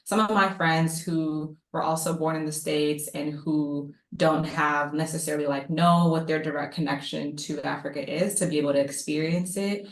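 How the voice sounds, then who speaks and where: Low-pitched woman in her 30s, chatty, lots of "like", echoey video call audio. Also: steady tone, normal speed, few pauses. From Maryland. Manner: warm, chatty, uses "like" often. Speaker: guest psychologist. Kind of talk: casual panel talk.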